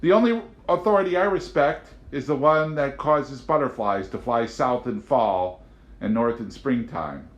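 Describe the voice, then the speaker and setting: A man voicing a calm, idealistic young woman who questions and challenges in an audiobook. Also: medium pitch, unhurried, highs cut off, pitched above the performer's own voice, natural voice. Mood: calmly defiant. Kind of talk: audiobook character voice. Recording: roomy, echoing home recording.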